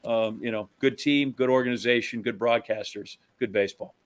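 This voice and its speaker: A middle-aged man co-hosting a sports podcast, chatting casually with strong opinions and rambling, halting phrasing. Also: mid-range voice, fairly even intonation.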